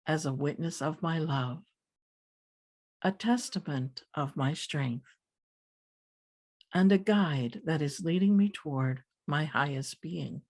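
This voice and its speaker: A woman leading a guided meditation as a teacher, speaking calmly in deliberate phrases with pauses. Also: sits low.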